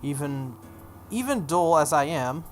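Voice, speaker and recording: A man's voice: fairly high pitch, big pitch swings, measured pace, faint music behind. A man reading a visual novel aloud as narration, stumbling over the text now and then and dropping into casual asides. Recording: noticeable background noise.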